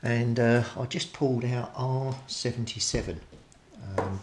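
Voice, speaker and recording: A man's voice: medium pitch, unhurried. A British man giving a casual, hands-on repair commentary, thinking aloud with some hesitation as he works. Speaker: hobbyist radio repairer presenting a repair video. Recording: clearly noisy recording with clicks.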